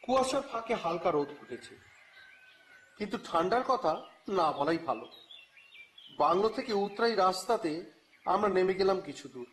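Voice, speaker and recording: An actor playing a wry, grumbling reporter who narrates his own detective adventure in an expressive, dramatized audio story. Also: sits high, normal speed, pauses often, slight background noise. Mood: tense, exasperated. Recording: music bed under the voice.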